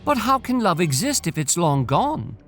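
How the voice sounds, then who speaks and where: A man's voice: sits high, very animated, moderate pace, a bit bright, soft background music, pitched above the performer's own voice, heavily acted. A man voicing an earnest, questioning young philosopher who seeks truth in a storybook tale. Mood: disbelieving.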